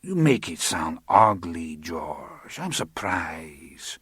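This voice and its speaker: A man playing a sly, patient man. He talks soft and smug in a low, unhurried voice. The reading is dry and close. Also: sing-song, slightly rough, no room sound, full character voice. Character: smooth, sly Latin American man, patient, needling.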